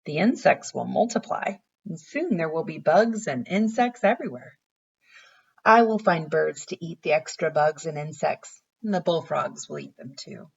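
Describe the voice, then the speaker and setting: A woman's voice: low-pitched, lively intonation, moderate pace, creaky, breathy edge, exaggerated voice. Lady doing a little boy from a storybook, eager n earnest, dreamy imagination, kinda muffled audio. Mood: dreamy, assured. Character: young boy, eager, imaginative, earnest about his plans. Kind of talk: bedtime story read aloud.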